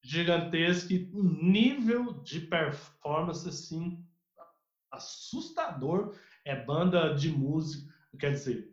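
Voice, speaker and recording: A man with a Brazilian Portuguese accent, a guest music researcher telling stories animatedly in a casual podcast interview, with many restarts. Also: on the high side. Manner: animated storyteller, many restarts, mimics others. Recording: roomy, dull lo-fi audio.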